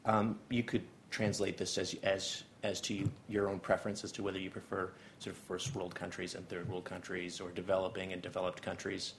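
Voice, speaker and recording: A young man with a Canadian accent lectures to a seminar, pausing on frequent ums and uhs. His voice is low and heavily gravelly and stays flat in pitch. A faint hiss sits under the recording. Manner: hesitant, many ums and uhs. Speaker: guest lecturer. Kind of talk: academic seminar talk.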